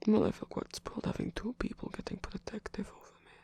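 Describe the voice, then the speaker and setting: Man playing a teasing male rock-star lover, gravelly breathy half-whisper, intimate ASMR roleplay, theatrical, unhurried. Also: sits high, wildly swooping pitch, warm tone, dry recording, heavily acted. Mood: amused, touched. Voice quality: breathy, husky, often whispered. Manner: teasing, murmured, hesitant pauses with hums. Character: flirtatious theatrical male rock singer, tired, playfully indulgent lover.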